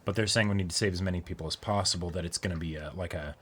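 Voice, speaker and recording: A man's voice: low voice, very fast, a bit bright, husky. A man co-hosting a casual discussion show, talking through his analysis in an agreeable way. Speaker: co-host of an anime reaction discussion show.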